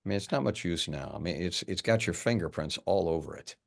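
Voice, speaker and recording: Male detective, read by an actor: very low creaky voice, blunt, suspicious, halting delivery, indie film drama. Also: animated, exaggerated voice. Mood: probing. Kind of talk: horror film script table read.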